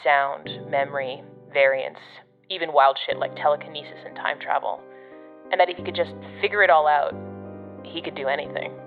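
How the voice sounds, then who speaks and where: A woman's voice: deep voice, fairly even intonation, a little fast, phone-quality sound. An actress playing a blunt, sardonic, foul-mouthed woman agent of a secret department in an audio drama. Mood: wry, reflective. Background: music.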